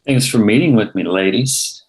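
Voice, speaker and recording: A man's voice: on the low side, moderate pace, vocal fry, darkish tone, poor audio. A man reading the part of a skeptical, pushy young hipster journalist in a script table read. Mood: curious, probing.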